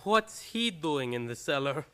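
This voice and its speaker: A man's voice: fairly high pitch, very animated, unhurried, pushed and bright, smooth, full character voice. A man playing a nervy, wheedling doctor who is a crook's sidekick, in a live old-time radio play. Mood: nervous, excited.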